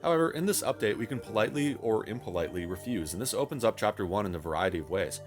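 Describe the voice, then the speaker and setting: A man's voice: on the low side, animated, very fast, over music. A man narrating a scripted but conversational video game review in a wry, self-deprecating way, with wordy phrasing and casual swearing.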